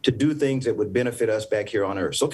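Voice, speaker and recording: Measured older man from the American South. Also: on the low side, darkish tone, slightly rough. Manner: measured, explanatory, with frequent restarts. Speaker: former astronaut, panel guest. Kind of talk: panel interview answer.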